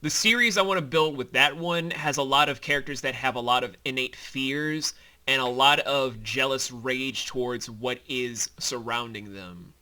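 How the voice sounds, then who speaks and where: A man's voice: mid-range voice, normal speed, sharp tone. A man co-hosting a behind-the-scenes commentary as the creator, chatting casually and explaining things in an enthusiastic, rambling way.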